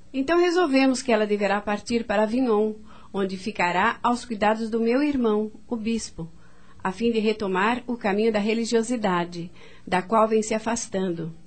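Radio drama matriarch , female actor: stern, devout, middle-aged, authoritative formal delivery, fairly high voice. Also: close to an everyday voice. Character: stern devout bourgeois French mother, controlling, middle-aged.

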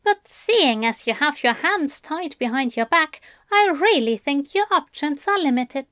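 A woman's voice: sits high, expressive, measured pace, sharp tone, dry recording, clean studio sound, pitched above the performer's own voice, exaggerated voice. A woman voicing a tender, teasing male angel lover in an audiobook, coaxing and fussily polite with endearments. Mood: admiring, playful. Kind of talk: fan fiction audiobook character voice.

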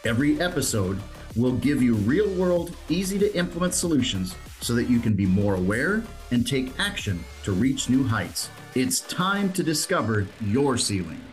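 A man's voice: medium pitch, sing-song, moderate pace, soft background music. A man hosting a podcast, reading the scripted show intro in a polished, announcer-like way. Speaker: podcast host.

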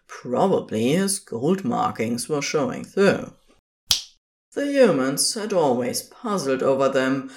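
A narrator reading scripted fiction for an audio drama. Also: medium pitch.

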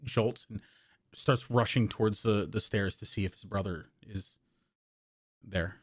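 A man at a gaming table calmly explains what his character does. His voice sits on the low side and sounds dry and close, with no room around it. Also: measured pace, breathy edge, no room sound. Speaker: player. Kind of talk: casual table talk.

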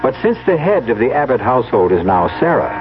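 A man hosting and narrating a radio mystery with scripted, measured, wry and portentous delivery. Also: medium pitch, sing-song, noisy background. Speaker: radio drama host and narrator. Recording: dull radio recording with music.

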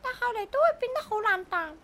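Shrill, very high-pitched talking parrot. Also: female voice, sing-song, a little fast, a bit bright, a little hiss or hum, full character voice. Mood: plaintive. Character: talking parrot, chatty, bossy, proud, with a hard past. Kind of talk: Cantonese radio drama acting.

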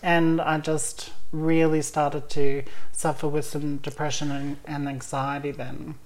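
A woman's voice: deep voice, little pitch movement, a little slow, darkish tone, poor audio. A woman talking candidly in a casual chat, laughing often between points.

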